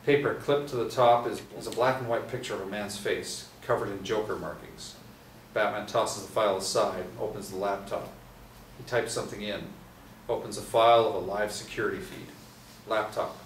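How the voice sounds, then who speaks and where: A man with a lowish voice reads stage directions in a steady way, stumbling a little now and then. He sounds like he is in an echoey room, some distance from the mic, with faint hiss behind him. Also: normal speed. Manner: steady, with small restarts. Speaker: script narrator. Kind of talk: reading stage directions aloud.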